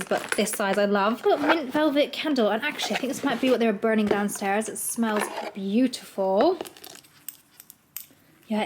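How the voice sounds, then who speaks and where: A woman's voice: fairly high pitch, a little hiss or hum. A woman, chatting casually in a vlog, gushing and full of fillers, a bit congested from a head cold. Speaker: lifestyle vlogger. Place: hotel room indoors. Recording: echoey room.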